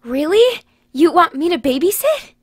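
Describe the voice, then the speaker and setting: A woman's voice: very high voice, very animated, moderate pace, no echo, clean studio sound. A woman playing a blunt but caring grandmother in recovery, speaking earnestly in an audio drama. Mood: easygoing. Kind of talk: podcast audio drama acting.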